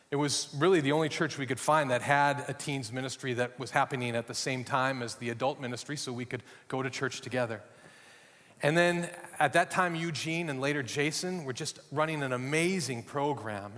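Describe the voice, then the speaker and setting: A man's voice: mid-range voice, a bit bright, in a large hall. A middle-aged American man from Minnesota, preaching a sermon as a guest speaker with folksy, self-deprecating humor. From Minnesota, USA. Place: church hall.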